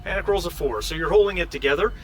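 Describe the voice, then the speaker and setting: A man running a tabletop game as game master, improvising scene descriptions in a halting way and giving rulings. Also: a little high, faint music behind.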